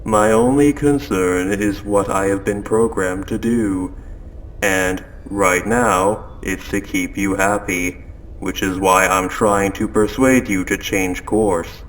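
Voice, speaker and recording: A man voicing a calm, possessive spaceship AI in an audio roleplay, speaking in a measured, formal, softly coaxing way. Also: lowish voice, creaky, a little hiss or hum, exaggerated voice, low-quality recording. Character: calm, possessive spaceship AI turning quietly obsessive. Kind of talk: scripted audio roleplay monologue. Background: ambient sound effects.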